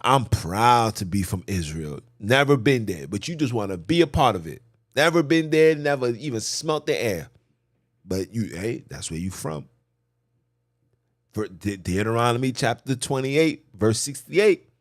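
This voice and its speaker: Animated man preaching with big pitch swings. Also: a little slow. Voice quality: voice jumps high for emphasis. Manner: animated, emphatic, repeats phrases, swings between loud and hushed. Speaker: podcast host. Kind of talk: preachy monologue.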